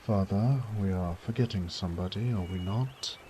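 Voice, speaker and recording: A dutiful noble knight voiced by a man in an audio drama. He is formal and courteous, with a low pitch and measured phrasing. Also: dark tone, a little hiss or hum, close to an everyday voice. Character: formal, dutiful young lord, knight and elder brother. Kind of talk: audio roleplay drama acting.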